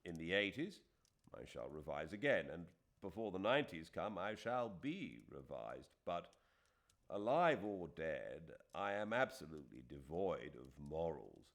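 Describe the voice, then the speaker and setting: A man voicing an earnest, self-deprecating middle-aged Englishman, speaking a letter aloud in an emphatic, measured way. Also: deep voice, sing-song, creaky, a bit bright, full character voice. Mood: earnest, wry.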